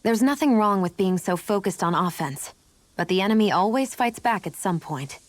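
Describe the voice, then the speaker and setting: A woman voicing a composed, poised adult warrior in a video game, speaking in a measured, formal manner. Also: medium pitch, lively intonation, natural voice. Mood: calm, advising. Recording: studio voice over game music.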